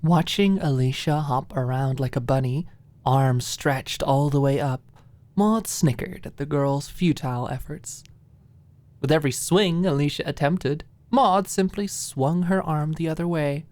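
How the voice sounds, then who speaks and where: A woman narrating a scripted audiobook in ASMR style, with soft phrases that drop into a hush. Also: sits low, measured pace, no echo.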